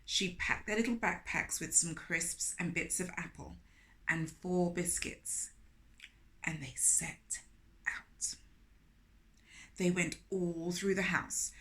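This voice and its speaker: A woman reading a picture book aloud to young children, animated and speaking to them directly with questions. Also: fairly low pitch. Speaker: children's storyteller, host of a storytime series.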